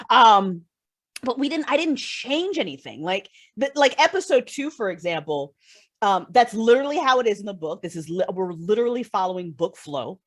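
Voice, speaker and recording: A woman being interviewed as a creative guest, talking casually and candidly in a rambling, emphatic way. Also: medium pitch. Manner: rambling, emphatic, freely swearing. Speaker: guest, audio drama writer and creator.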